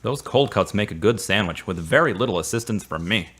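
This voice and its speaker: A man's voice: fairly low pitch, expressive, brisk, music in the background, natural voice. An actor playing a supportive, slightly awkward man in a radio drama, speaking earnestly and a little formally. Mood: helpful. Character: Derek, earnest, supportive, awkward male friend. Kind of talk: serial radio drama acting.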